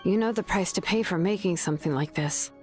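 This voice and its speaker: An actress playing a sharp, laid-back young woman who calmly reasons through a scheme in a video game. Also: fairly low pitch, fairly quick, close to an everyday voice. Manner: calm, knowing, casually reasoning. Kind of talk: video game dub acting. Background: game music.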